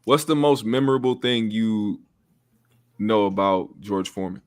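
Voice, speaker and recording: A man's voice: lowish voice, normal speed, clear, resonant tone. A man in his thirties co-hosting a podcast, talking in a laid-back, profane way and laughing through his stories. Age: 30s. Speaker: podcast co-host. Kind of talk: casual chat.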